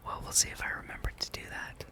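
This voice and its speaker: A person whispering a relaxed ASMR gameplay commentary, breathy and rambling, with dry, wry asides. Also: brisk. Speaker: host of a gaming ASMR channel.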